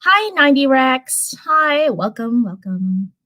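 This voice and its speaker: A woman's voice: high voice, big pitch swings, takes it slowly, bright, forceful tone. A woman hosting a live stream in an informal, chatty way, talking to viewers and reading fan fiction aloud. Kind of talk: casual livestream chat and live story reading.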